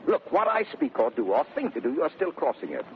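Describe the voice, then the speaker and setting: Bossy loud shakespeare husband, highish theatrical voice, old tinny radio drama. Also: male voice, wildly swooping pitch, fast talker. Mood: overbearing. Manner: theatrical, declamatory, commanding. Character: domineering, mocking husband, loud and wilful. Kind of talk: 1930s radio Shakespeare acting. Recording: narrow, noisy vintage 1930s radio recording.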